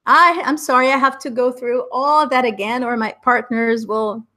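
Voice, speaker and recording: A woman's voice: fairly high pitch, fairly even intonation, normal speed, sharp tone. A middle-aged Brazilian woman with an Americanized Brazilian accent, an upbeat, chatty English exam teacher giving a live online class and laughing often. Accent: Brazilian Portuguese, Americanized English. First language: Portuguese.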